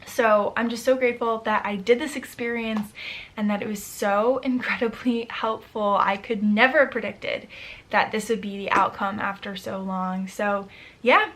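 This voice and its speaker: A young woman vlogging casually to camera, chatty and upbeat, her voice a little hoarse from overuse. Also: mid-range voice, fairly even intonation, moderate pace, a bit bright. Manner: chatty, upbeat, with frequent fillers. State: slightly hoarse, losing her voice. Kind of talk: casual vlog talk to camera.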